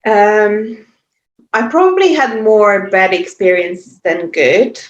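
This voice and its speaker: A woman from Hungary in her forties, speaking English with a Hungarian accent, reflecting thoughtfully with pauses and fillers as a podcast guest. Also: mid-range voice. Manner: thoughtful, hesitant, many fillers and restarts. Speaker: podcast guest, yoga teacher. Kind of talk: reflective interview talk. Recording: echoey, muffled remote audio.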